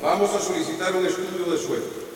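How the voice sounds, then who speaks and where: A man's voice: high-pitched, brisk, distant mic, a little hiss or hum, natural voice. Costa Rican man as a pleading town mayor , voiced by a man, raised voice, echoey hall, heard from afar. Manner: insistent, pleading for calm. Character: male town mayor leading a tense evacuation meeting. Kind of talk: radio drama acting.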